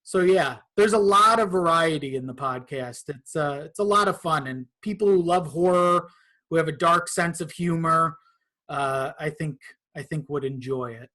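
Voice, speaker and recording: A man's voice: on the high side, normal speed, sharp tone. Casual man talking about his creative work. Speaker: podcast guest, horror audio drama creator.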